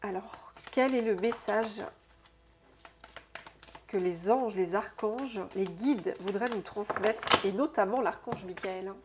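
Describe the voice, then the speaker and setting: A woman with a stuffy, congested nose from a cold, giving an intuitive oracle card reading to her viewers, musing aloud softly and often tagging her sentences with "hein". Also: mid-range voice, background noise. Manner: soft, unhurried, musing aloud with frequent "hein". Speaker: soul therapist and oracle card reader.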